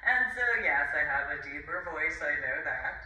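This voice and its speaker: A woman in her forties talks in a loose, rambling way, full of asides and quick brushing-off remarks. Her pitch moves around a lot and her tone is sharp and bright. She sounds far from the camera in a bare, echoey room. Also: animated. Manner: rambling, chuckling, heavy on fillers and dismissive asides. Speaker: vlogger. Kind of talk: casual rambling monologue. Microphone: camera at a distance.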